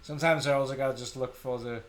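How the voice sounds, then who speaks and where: A middle-aged man from Denmark with a Danish accent, hosting a casual live software tutorial, thinking aloud in an informal, self-deprecating way. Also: medium pitch, fairly even intonation, fast. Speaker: tutorial live stream host.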